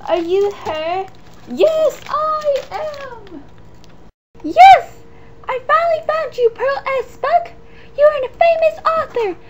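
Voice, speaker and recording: A woman's voice: very high-pitched, animated, slow, background noise, exaggerated voice. A teenage girl voicing a cheerful young doll who hosts a time-travel show in a school doll play. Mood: eager. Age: teens. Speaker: student.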